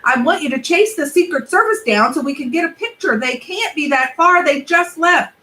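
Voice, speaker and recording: A dramatic young boy from a storybook, urgent and insistent, his voice pitched high and sharp, as a woman reads him. He pushes every line with pleading force. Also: lively intonation, normal speed.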